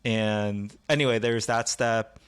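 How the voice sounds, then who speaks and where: Podcast co-host, male, American, lowish voice, chatty with exasperated asides. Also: steady tone, slow. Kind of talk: casual podcast chat.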